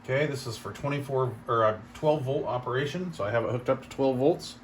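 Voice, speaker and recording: A man's voice: medium pitch, brisk. Impressed man, noisy home-video sound. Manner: impressed, mild exclamations, thinking aloud. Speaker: product reviewer. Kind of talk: casual unboxing commentary. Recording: clear background noise and room ambience.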